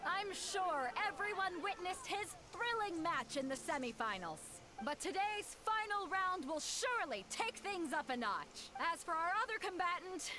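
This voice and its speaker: A high, animated young tournament announcer. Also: female voice, measured pace, few pauses, exaggerated voice. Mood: rousing, theatrical. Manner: theatrical, proclamatory. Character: brisk young woman announcing a fighting tournament. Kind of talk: video game voice acting.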